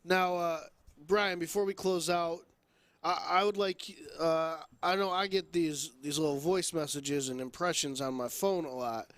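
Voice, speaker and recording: A man's voice: on the high side, fairly even intonation, a little slow, a bit bright, no room sound. A man hosting a podcast with casual, playful banter and teasing, recorded close to the microphone. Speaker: podcast host.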